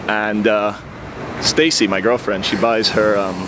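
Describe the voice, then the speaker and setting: A hoarse man with a chesty cold, vlogging as he walks a city street with wry, sarcastic commentary. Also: on the low side, steady tone, measured pace. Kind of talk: casual walking commentary. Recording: outdoor street recording with traffic noise. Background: street traffic noise.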